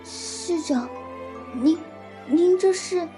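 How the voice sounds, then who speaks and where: A woman's voice: very high voice, extremely slow, music in the background, heavily acted. A young woman playing an anguished, self-sacrificing older sister in an audio drama. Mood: hesitant, shocked. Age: young adult. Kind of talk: Chinese audio drama acting.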